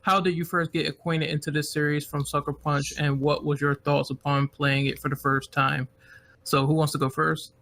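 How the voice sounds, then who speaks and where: A man's voice: a little high, little pitch movement, moderate pace, creaky, fairly bright tone. A man hosting a casual group podcast, upbeat and introducing guests one by one. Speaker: podcast host.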